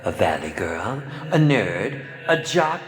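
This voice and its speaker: An actor voicing a pompous, campy, sadistic horror film director for a video game, with condescending, drawn-out theatrical delivery. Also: male voice, fairly low pitch, very animated, roomy sound, big-room sound. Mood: smug, theatrical. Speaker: game announcer.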